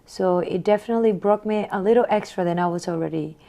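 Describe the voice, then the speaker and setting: A woman in her forties from Honduras, answering interview questions in a soft, reflective way with small repeats. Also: mid-range voice, quick pace. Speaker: guest.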